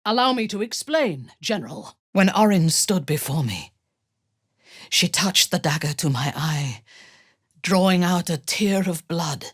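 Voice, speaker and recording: An imperious dark elf commander from a fantasy game. Her voice is low and husky, close and dry, and she speaks deliberately with haughty menace, her cold lines swinging widely in pitch. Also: animated, dry recording. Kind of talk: dramatic video game acting.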